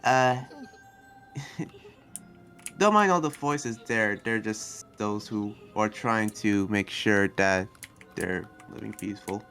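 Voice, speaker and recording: A man playing an earnest, hesitant demon slayer and researcher in an improvised Minecraft anime roleplay, speaking haltingly and trailing off. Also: fairly low pitch, a bit bright, soft background music. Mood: hesitant, showing around.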